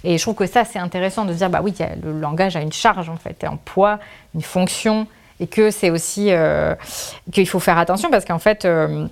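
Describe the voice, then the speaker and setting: A woman's voice: on the low side, normal speed, gravelly. A woman author answering interview questions, thinking aloud with many restarts, fillers and trailing phrases. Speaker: author, guest. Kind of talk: casual spoken interview answers.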